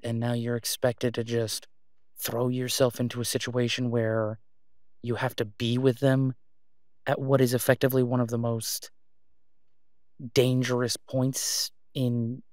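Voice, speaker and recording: A man playing a worried, devoted boyfriend in an audio roleplay, speaking haltingly and earnestly, as in intimate conversation. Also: mid-range voice, darkish tone, no echo, studio quality, lightly acted.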